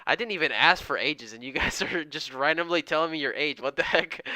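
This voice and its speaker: A man's voice: on the high side, moderate pace, pushed and bright, no room sound. A man in his twenties chatting casually on a live trading stream, reading out viewer comments and thanking people in an upbeat, slangy way. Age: 20s. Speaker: live-stream day trader.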